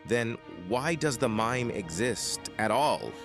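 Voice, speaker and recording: A man's voice: mid-range voice, animated, slow, over music. A man playing a naive, astonished fugitive father in an audio drama, questioning with incredulity. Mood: puzzled, astonished.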